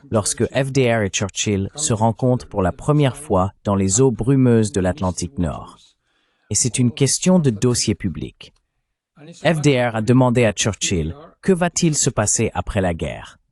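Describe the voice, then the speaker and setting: A man's voice: medium pitch, animated, moderate pace, a little mellow. A man delivering a translated voice-over of an interview, explaining things as a commentator.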